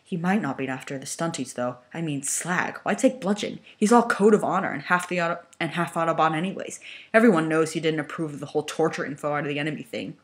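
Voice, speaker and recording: A woman's voice: deep voice, quick pace, close to an everyday voice. Woman acting a snide, conspiratorial robot soldier. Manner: conspiratorial, snickering, casual. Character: scheming, snide male robot jet soldier.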